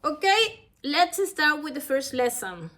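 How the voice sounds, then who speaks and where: Woman, Latin American Spanish accent, native Spanish speaker, high voice, slow halting delivery, language teacher. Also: very animated, a bit bright. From Ecuador. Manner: halting, careful, phrase by phrase. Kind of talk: instructional lesson to camera.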